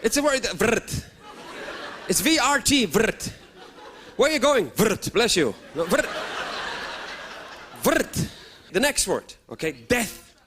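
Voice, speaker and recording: A man, performing stand-up comedy for a live audience with lively outbursts. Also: sits high, slow, long pauses, a little hiss or hum. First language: German. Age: 30s. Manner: animated, with sudden loud outbursts and put-on voices. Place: stage before a live audience.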